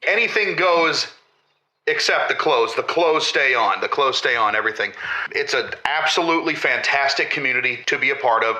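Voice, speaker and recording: An earnest, nerdy male caller to a radio comedy show. His voice is very bright and tense, with clear echo, and he is hesitant but emphatic. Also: medium pitch, animated, moderate pace. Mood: earnest, proud. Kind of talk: comedic audio drama of a call-in show. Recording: echoing, sharp-sounding caller audio.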